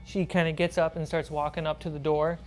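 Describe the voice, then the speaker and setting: A woman's voice: low-pitched, level tone, a little fast, darkish tone, faint music behind. A woman running a tabletop role-playing game as game master, narrating scenes and prompting her players.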